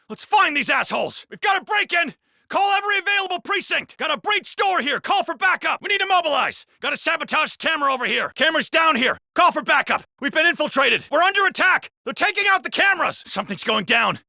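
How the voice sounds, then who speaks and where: An actor playing a jaded, foul-mouthed, sardonic police officer in a video game. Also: male voice, very high voice, creaky, pushed and bright, no room sound, clean studio sound, full character voice. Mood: urgent, shouting. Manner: gossipy, sardonic, swearing. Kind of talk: scripted video game voice acting.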